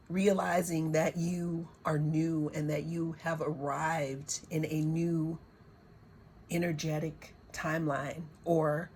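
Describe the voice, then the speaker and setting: A woman reads oracle cards in a hoarse, lowish voice, taking her time over every phrase. She circles back and repeats words as she searches for what to say. A faint background hiss sits under her voice. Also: darkish tone. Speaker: tarot and oracle card reader. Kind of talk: slow, reflective spiritual card reading.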